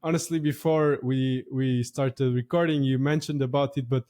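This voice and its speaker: A man hosting a podcast, talking in a relaxed way with many fillers and frequent laughs. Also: mid-range voice, normal speed. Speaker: podcast host. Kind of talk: casual podcast chat.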